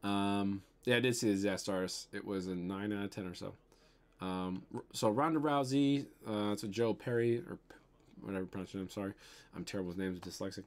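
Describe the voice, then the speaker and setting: Young midwest dude rambling on his bedroom stream, lowish voice, says um a lot. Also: brisk, a little mellow. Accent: Midwestern American. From American Midwest. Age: young adult. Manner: rambling, loose, swears casually, many ums. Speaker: toy unboxing livestream host. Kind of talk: casual livestream chat reading viewer comments. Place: bedroom, indoors.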